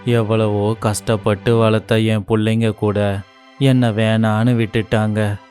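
Guy doing a slow tired old man who's secretly a demon, lowish voice, soft music under it. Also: little pitch movement, close to an everyday voice. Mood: self-pitying. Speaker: voice actor. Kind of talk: animated storybook dialogue.

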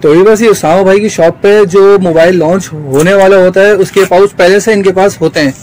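A man's voice: sits high, fairly even intonation, a little fast, a little mellow, slight background noise. A man from Pakistan, hosting a casual shop vlog and echoing his guest's words back with short agreements. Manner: brief agreeing interjections, repeats the guest's words. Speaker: vlogger host. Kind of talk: casual market vlog interview. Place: indoors, busy phone accessories shop.

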